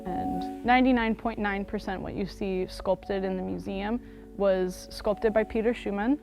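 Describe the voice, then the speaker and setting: A woman's voice: mid-range voice, steady tone, measured pace, vocal fry, over music. A woman, explaining things in an interview as a member of a theater.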